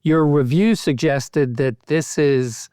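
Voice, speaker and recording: A man speaking as a podcast host, asking structured medical questions in a measured, articulate way. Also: on the high side, dark tone, clean tone, no echo, studio quality.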